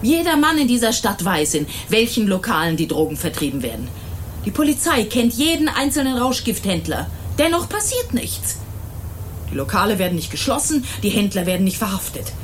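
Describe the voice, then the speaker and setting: A woman's voice: mid-range voice, very animated, fairly quick, close to an everyday voice. A middle-aged woman acting an indignant, self-righteous mother in a German radio crime drama, with a stern, emphatic delivery. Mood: indignant, defiant. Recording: 1980s radio drama with faint noise.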